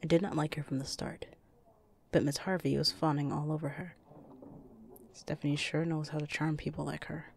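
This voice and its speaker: Curt, suspicious office enforcer, female actor, commanding, heavy vocal fry, faint noise behind, audio roleplay. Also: low-pitched, little pitch movement, moderate pace. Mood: wary, warning.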